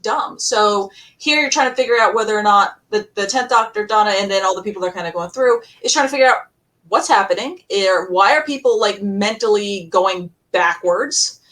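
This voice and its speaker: A woman panelist talking casually and enthusiastically about audio dramas in a rambling, animated way. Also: medium pitch, normal speed. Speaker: podcast panelist. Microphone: distant computer mic on a virtual panel. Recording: distant microphone.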